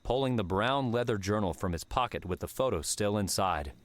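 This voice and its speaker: Low-pitched male audiobook narrator. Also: lively intonation, a little fast, no room sound. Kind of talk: scripted story narration.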